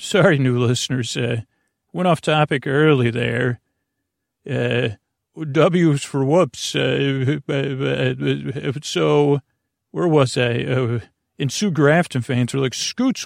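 Creaky-voiced man rambling soothingly. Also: mid-range voice, no room sound, clean studio sound. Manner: meandering, hesitant, stammering with tangents. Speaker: host of a bedtime sleep podcast. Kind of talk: rambling, soothing bedtime monologue.

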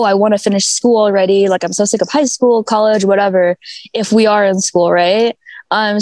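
A woman's voice: mid-range voice, darkish tone, no echo. A young woman speaking as an activist guest in a casual video-call interview, chatty and upbeat with lots of fillers. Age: young adult. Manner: chatty and upbeat, quick laughs, many fillers. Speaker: mental health activist, guest. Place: outdoors at a school.

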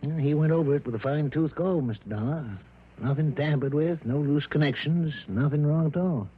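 Male actor for small-town American radio lawman: folksy, slow on the uptake, roughened higher-set voice, muffled old broadcast. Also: a little hiss or hum, natural voice. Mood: puzzled. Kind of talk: mid-century radio drama acting. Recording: dull vintage radio drama recording.

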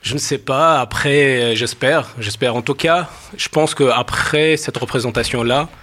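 A man's voice: medium pitch, measured pace, sharp tone. A man speaking earnestly, with hesitant fillers, in a documentary interview. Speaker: actor.

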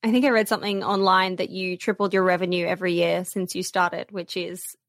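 A woman's voice: lowish voice, level tone, brisk. A woman hosting a podcast interview who asks open, encouraging questions. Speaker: podcast host.

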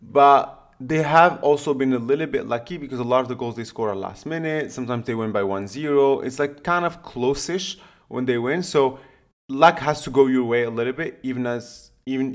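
Blunt man chatting casually about sport. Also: mid-range voice. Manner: blunt, opinionated, swears casually. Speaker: podcast co-host. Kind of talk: casual football podcast chat.

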